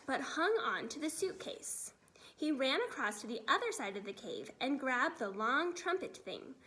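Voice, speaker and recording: High-pitched, animated woman reading a storybook. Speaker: storybook reader, teacher. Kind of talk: expressive read-aloud narration of a children's story.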